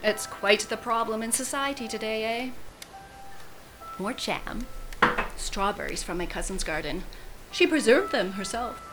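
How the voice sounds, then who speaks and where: A woman's voice: on the high side, animated, measured pace, long pauses, music in the background, poor audio. A woman playing an arch, pointed middle-aged wife who coolly needles her friend in an audio drama. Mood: hostly, pointed.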